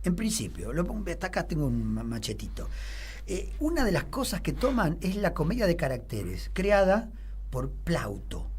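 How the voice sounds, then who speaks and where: Middle-aged Rioplatense man, husky, rambling teacher on a radio talk show. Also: mid-range voice, a little mellow. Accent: Rioplatense Spanish. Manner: rambling with many fillers and restarts. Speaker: theater teacher guest. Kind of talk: relaxed radio interview, explaining theater history. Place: radio studio.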